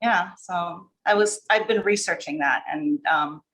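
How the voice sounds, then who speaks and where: A woman's voice: fairly low pitch, measured pace, a bit bright, low-quality recording. A woman chatting informally as a guest writer, explaining things in a rambling way with asides. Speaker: short story writer, podcast guest. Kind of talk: casual chat, explaining. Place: online video call.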